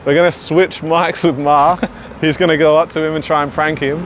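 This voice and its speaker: A young Australian man with a fairly high voice hosts a prank video in an easy, giggly way, dropping swear words as he goes. He's recorded outdoors, with clear traffic and street noise running underneath. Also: flat delivery, moderate pace, fairly bright tone. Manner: laughs often, swears casually. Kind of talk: casual prank vlog chat. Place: outdoors at a petrol station.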